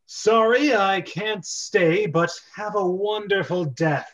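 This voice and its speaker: A man's voice: high-pitched, takes it slowly, fairly bright tone, dull audio, pitched above the performer's own voice. A man voicing a gloating, mock-polite reptilian villain king in an amateur script read-through.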